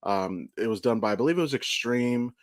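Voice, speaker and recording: A man's voice: medium pitch, expressive, fairly quick, dry recording, clean studio sound. An American man, maybe in his thirties, co-hosting a podcast in a rambling, informal, sweary way with frequent self-corrections. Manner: profane, informal, stop-start with self-corrections. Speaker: podcast co-host. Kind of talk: casual rambling podcast chat.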